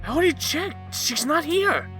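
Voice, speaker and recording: A woman's voice: high-pitched, lively intonation, normal speed, a bit bright, soft background music. A woman playing a defensive, bumbling young schemer in a comic dub, with a whiny manner.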